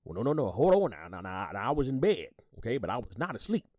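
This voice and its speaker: Folksy retired sergeant, older man, played by an actor, gossipy courtroom witness, animated pitch, dry close recording. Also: quick pace, dry recording. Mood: defensive, folksy. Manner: folksy, rambling, repeats words, hums agreement. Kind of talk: audio drama acting, courtroom testimony.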